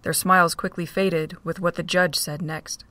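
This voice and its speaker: A woman narrating a fan audio drama in a steady reading voice. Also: on the low side, moderate pace, dry recording. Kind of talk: storybook narration.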